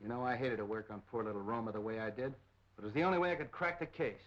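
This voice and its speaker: A man's voice: medium pitch, very fast, close to an everyday voice. Hard-nosed cop boss, male, mid-range voice, brusque and decisive actor in role, dull vintage film sound. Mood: matter-of-fact. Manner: brusque, commanding. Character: brash, decisive male police captain, tough and slangy. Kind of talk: 1930s film acting.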